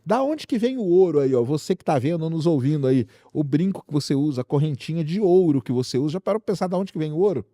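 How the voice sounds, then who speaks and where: A fast-talking Brazilian man hosts a popular science chat in a mellow, slightly high voice. He speaks straight to the audience, throwing out rhetorical questions and everyday examples. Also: expressive, no echo, clean studio sound. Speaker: science show host.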